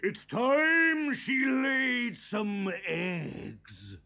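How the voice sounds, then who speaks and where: A huge storybook giant who roars out his words slowly and heavily, as a man reads him aloud. His voice sweeps widely up and down. He sounds grand and menacing, in a children's story. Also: wildly swooping pitch, gravelly, sharp tone, heavily acted. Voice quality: roaring, slow and booming. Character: huge roaring male giant.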